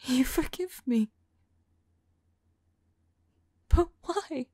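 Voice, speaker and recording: A woman playing a remorseful, lovelorn female vampire in an ASMR roleplay, whispering softly and tenderly in a halting, intimate monologue. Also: high voice, animated, dry recording, studio quality. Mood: pleading, tearful. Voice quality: soft, breathy whisper. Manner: slow, halting, tender delivery.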